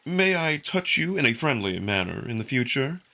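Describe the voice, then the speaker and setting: A man's voice: mid-range voice, very animated, moderate pace, bright, forceful tone, voice pushed up. A man voicing a suave, smugly curious male jackal alien in a visual novel, with polite, needling, unruffled delivery. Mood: mock apologetic. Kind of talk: visual novel character acting.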